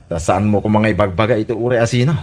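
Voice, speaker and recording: An actor with an Ilocano Filipino accent playing an evasive, irritable, smooth-talking young man in a comedy radio drama. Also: fairly low pitch, very fast, a little mellow, natural voice. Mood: casual, fed up.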